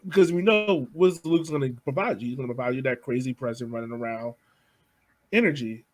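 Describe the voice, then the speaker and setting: Male podcast co-host, husky mid-range voice, loose casual talk. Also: medium pitch, brisk. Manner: casual, uses "like" a lot. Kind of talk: casual soccer discussion.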